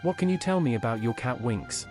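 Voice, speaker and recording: A man's voice: mid-range voice, animated, fairly quick, darkish tone, faint music behind, close to an everyday voice. Guy playing a curious game hero asking questions, mid voice, pretty up and down. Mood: inquisitive. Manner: plain, questioning. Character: inquisitive male adventurer questioning people. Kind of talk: AI-voiced fantasy game dialogue.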